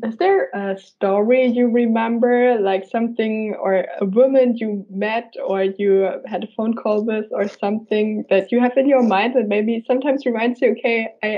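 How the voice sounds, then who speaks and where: A woman's voice: on the high side, steady tone, normal speed, few pauses. A woman hosting a podcast interview, asking questions in a friendly way and laughing often. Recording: dull lo-fi sound.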